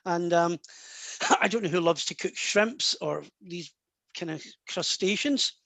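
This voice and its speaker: A man's voice: high voice, measured pace, creaky, a bit bright, no room sound. A man working as a psychic medium in a live online session, talking in a chatty, rambling way full of restarts.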